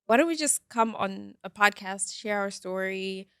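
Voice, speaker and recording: A woman in her late twenties from Nairobi talks casually on a podcast. Her voice has a gravelly, fry-laden edge, and her speech is loose and filled with fillers. The recording is dry and close, with no echo. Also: medium pitch, normal speed. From Nairobi, Kenya. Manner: relaxed, with many fillers like "um" and "like". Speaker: podcast co-host, beauty content creator.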